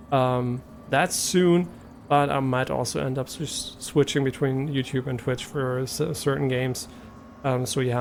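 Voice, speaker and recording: Gravelly German-accented man streamer. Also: mid-range voice, a little mellow, a little hiss or hum. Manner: rambling, hesitant, many fillers and restarts. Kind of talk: casual live stream chat.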